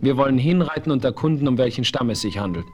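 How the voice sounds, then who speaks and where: A man's voice: medium pitch, expressive, fast talker, a little mellow, slight background noise, close to an everyday voice. A man playing a noble, wise chief who speaks in an earnest, formal way. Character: noble, wise male chief, calm and watchful. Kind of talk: 1970s German radio play acting.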